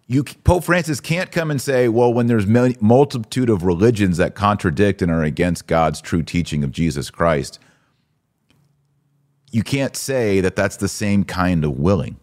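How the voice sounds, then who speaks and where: A man's voice: on the low side, lively intonation, normal speed, a little mellow. A man hosting a podcast, giving conversational religious commentary in an emphatic, teacherly way. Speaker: podcast host.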